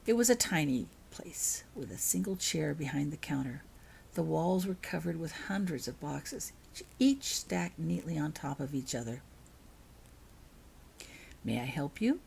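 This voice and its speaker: A woman's voice: low-pitched, expressive, moderate pace, gravelly, darkish tone, slight background noise. A woman reading her own fiction aloud as scripted storybook narration.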